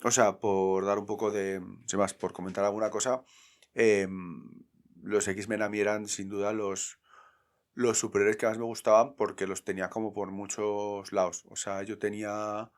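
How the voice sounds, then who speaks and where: Low-voiced man, rambling and reminiscing in a casual podcast. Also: little pitch movement, moderate pace. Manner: meandering, hesitant, with many fillers. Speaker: podcast co-host. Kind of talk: casual chat.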